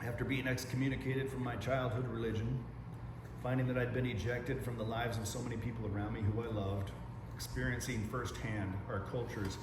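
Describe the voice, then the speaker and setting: A man giving a slow, reflective sermon in a conversational tone with casual swearing. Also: mid-range voice, little pitch movement. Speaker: pastor. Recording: roomy, distant mic with room noise.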